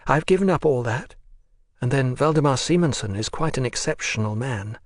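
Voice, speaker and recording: A man reading the part of a resigned, emotional young woman prisoner in an audiobook, his voice a touch raised and soft. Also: mid-range voice, lively intonation, moderate pace, dry recording, studio quality, lightly acted. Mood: earnest.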